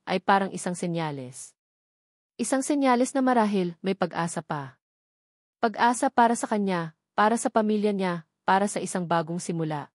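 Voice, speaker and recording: A woman's voice: mid-range voice, a little mellow, no room sound, clean studio sound. A woman with a Filipino accent reading a scripted romantic story as a dramatic audiobook narrator.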